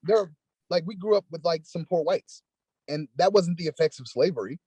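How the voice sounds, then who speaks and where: A man with a husky, breathy voice chats casually on a podcast, leaning on "like" as he builds his points. The sound is dry and close, with no room around it. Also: on the high side, normal speed, mellow, dry recording. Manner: casual, peppered with "like". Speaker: podcast co-host. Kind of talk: casual discussion.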